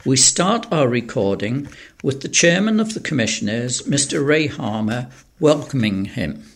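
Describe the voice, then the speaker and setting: A man presents a recording in a husky voice with a good deal of creak. His pitch moves in a lively way as he makes the spoken introduction. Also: measured pace.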